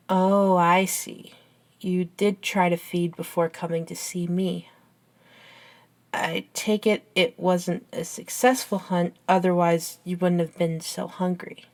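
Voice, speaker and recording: A woman playing a caring, curious, science-minded young best friend in an ASMR roleplay, with an earnest, halting, gently teasing manner. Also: mid-range voice. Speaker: amateur audio roleplay voice actor.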